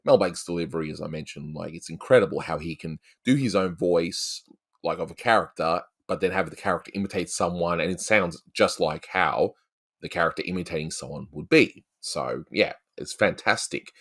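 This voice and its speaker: Deep-voiced Australian man chatting. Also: fairly quick, a bit bright. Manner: rambling, chatty, peppered with "you know". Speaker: host of an animation review show. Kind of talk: casual film review commentary.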